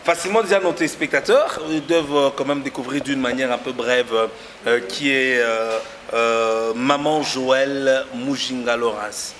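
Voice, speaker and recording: Male talk-show host, middle pitch, halting with lots of fillers, long reverb and light noise behind, for an interview segment. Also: fairly even intonation, a bit bright. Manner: hesitant, many fillers. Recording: noisy room with long reverb.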